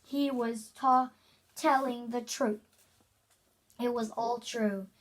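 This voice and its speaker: A young child reading a children's book aloud, haltingly, stumbling over words. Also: medium pitch, steady tone. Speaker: reader.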